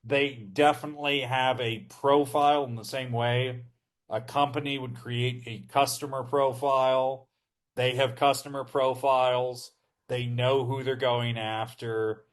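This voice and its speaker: Slow, measured man explaining. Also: medium pitch, little pitch movement. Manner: measured, explanatory, with filler ums. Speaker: podcast co-host. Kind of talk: casual podcast conversation.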